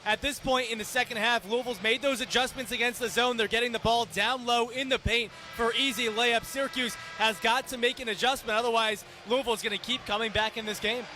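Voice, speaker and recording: A man's voice: very high voice, fairly even intonation, fairly quick, hardly any pauses, pushed and bright, faint music behind. A man as radio color commentator, giving live basketball analysis with arena noise around him. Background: basketball arena ambience.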